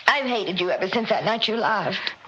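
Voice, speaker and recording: An actress in a 1960s radio drama playing a weary, frightened, bitter wife, speaking in halting, strained bursts. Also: female voice, mid-range voice, lively intonation, bright, forceful tone. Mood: bitter, anguished. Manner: halting, repeating, emotionally strained. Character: weary, frightened, bitter wife confessing long-held hatred. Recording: dull, noisy old radio recording.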